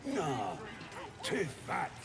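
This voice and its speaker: A male actor playing a brutish goblin warrior in a fantasy game, with a gravelly voice. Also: fairly high pitch, wildly swooping pitch, very slow, a crowd in the background, full character voice. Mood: gruff. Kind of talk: fantasy game creature acting.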